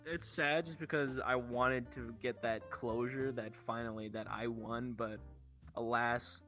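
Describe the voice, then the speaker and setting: A young man casually telling rambling stories to friends in a slangy, sweary, animated way. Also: mid-range voice, a little slow, soft background music. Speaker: show host telling stories.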